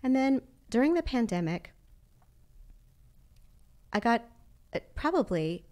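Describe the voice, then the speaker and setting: A woman's voice: fairly high pitch, sing-song, takes it slowly, a little mellow. A woman guest on a podcast, telling stories with an enthusiastic, rambling manner. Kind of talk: casual interview chat.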